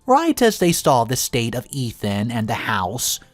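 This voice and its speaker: A narrator delivers a scripted first-person story with very lively, rising and falling intonation. The voice sits in a middle register and is recorded very dry, with music playing behind it. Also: mid-range voice, measured pace, no echo.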